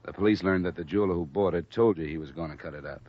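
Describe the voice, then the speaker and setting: A man's voice: sits low, very fast. A man playing a stern, world-weary insurance investigator with an American accent and clipped, pointed delivery, in a 1950s radio detective drama. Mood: hurt, accusing. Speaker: lead actor and narrator. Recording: muffled, hissy vintage radio recording.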